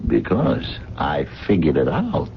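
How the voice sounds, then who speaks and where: A gruff, deep-voiced working man does his own detective work in a vintage radio drama. His talk is colloquial and probing, with big swings in pitch. The recording is dull and old. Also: huge pitch swings, takes it slowly, soft background music, exaggerated voice. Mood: determined. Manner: colloquial, plain-spoken, probing questioner. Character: gruff, street-smart working man playing amateur detective. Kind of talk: 1970s radio drama acting.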